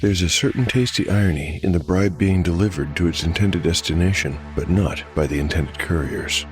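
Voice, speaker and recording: A man with a deep voice narrates a dark fantasy tale as game master. His delivery is measured, scripted storytelling, with soft music underneath.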